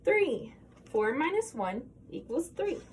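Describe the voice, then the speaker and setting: A woman reading a children's picture book aloud in an expressive, sing-song manner, posing questions as if to young listeners. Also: sits high, takes it slowly, slight background noise. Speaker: storybook reader.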